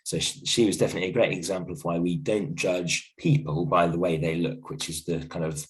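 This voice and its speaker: A male teacher with a croaky voice from illness, giving a gentle, reassuring video lesson from home with many ums and restarts. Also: low voice, fairly even intonation, brisk, poor audio. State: croaky voice from a cold, coughing. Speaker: primary school teacher. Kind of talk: recorded video lesson for a class.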